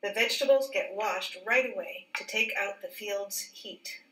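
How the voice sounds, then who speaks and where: A woman teacher reading a picture book aloud in a careful, expressive storybook manner. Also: medium pitch, moderate pace. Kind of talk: read-aloud storybook narration. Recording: roomy echo, distant mic.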